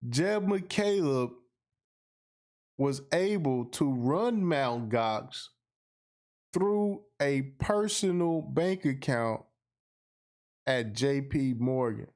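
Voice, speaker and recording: A man's voice: mid-range voice, lively intonation, extremely slow, long pauses, darkish tone. An American man hosting a casual livestream podcast, speaking in a slangy, emphatic way and repeating phrases for effect. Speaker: podcast host. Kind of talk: casual livestream monologue, responding to viewer comments.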